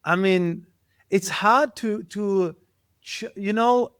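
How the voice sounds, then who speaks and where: A man's voice: high-pitched, slow pace, fairly bright tone, smooth, dry recording. A middle-aged man with a German accent, a guest in an interview, thinking aloud and searching for his words. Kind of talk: casual interview talk.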